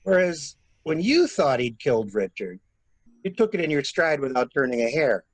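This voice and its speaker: An actor plays a wry, skeptical man in an amateur mystery drama. His voice is husky with lively, sardonic pitch swings, and the sound is dry. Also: normal speed, dry recording, low-quality recording. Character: wry, skeptical man confiding with a troubled woman. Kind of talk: amateur radio-play acting.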